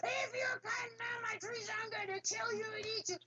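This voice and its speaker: A woman's voice: extremely high pitch, fairly even intonation, normal speed, heavy background noise, pitched above the performer's own voice. A woman voicing a huge hungry male troll who roars threats and then turns meek and pleading in a told folktale. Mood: roaring.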